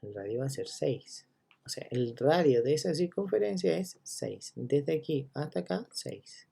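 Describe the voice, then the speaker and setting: Gravelly, husky-voiced male math teacher. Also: fairly high pitch, expressive, measured pace. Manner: walks through each step, checking in with listeners; counts items out loud one by one. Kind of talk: recorded geometry lesson.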